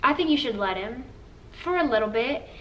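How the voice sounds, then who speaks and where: Young woman co-host, earnest chatter, high-ish voice, faint background hiss. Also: animated, a little fast. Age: young adult. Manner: chatty, earnest, says "like" often. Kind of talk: casual couple Q&A chat. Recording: slightly distant with faint room noise.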